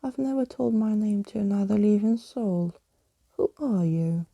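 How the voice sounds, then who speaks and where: A woman's voice: medium pitch, moderate pace, gravelly, dark tone. A woman voicing a feisty, suspicious young girl thief in a storybook, demanding in manner. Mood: suspicious, bold. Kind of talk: acted storybook reading.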